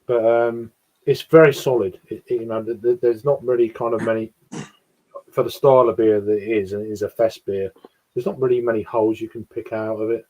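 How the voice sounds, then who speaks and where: A man's voice: mid-range voice, steady tone, fairly quick, a little mellow, poor audio. A man reviewing beer in a casual chat, rambling with lots of restarts and fillers.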